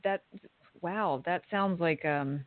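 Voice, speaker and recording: A woman's voice: lowish voice, slow pace, no room sound. Female co-host, teasing, incredulous questions, dry phone-quality audio. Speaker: podcast co-host. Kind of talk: casual chat. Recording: tinny phone-like line.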